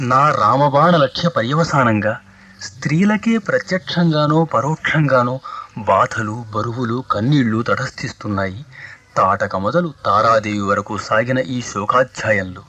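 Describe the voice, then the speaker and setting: A man's voice: medium pitch, normal speed, sharp tone. An actor playing a noble, compassionate, sorrowful god-king in a 1980s radio mythological play, stately and earnest in delivery.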